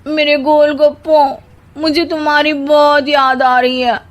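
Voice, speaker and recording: A high-pitched, theatrical young cartoon wife. She whines and gushes dramatically, and her bright, high voice rises over everyone else's. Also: little pitch movement, a little slow. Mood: dramatic. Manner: dramatic, whiny. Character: dramatic young wife obsessed with street snacks. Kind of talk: animated cartoon dubbing.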